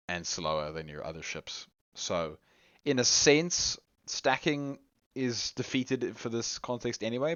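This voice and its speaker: Hoarse, lively man commentating a game. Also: sits low, no echo. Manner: chatty, rambling, lots of restarts and laughs. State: hoarse, losing his voice. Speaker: tournament commentator. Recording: dull lo-fi recording with faint music.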